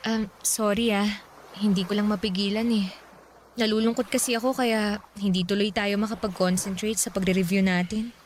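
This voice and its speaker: A woman's voice: mid-range voice, fairly even intonation, normal speed, slight background noise, lightly acted. An actress playing a polite, worried young woman caring for her sick father. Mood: sad. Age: young adult. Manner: polite, pleading.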